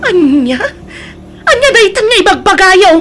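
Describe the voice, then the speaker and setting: A woman's voice: extremely high pitch, very animated, unhurried, a bit bright, soft background music, full character voice. A woman acting as a worried, exasperated adult daughter in a comedic radio drama, pleading and reproachful. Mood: dismayed.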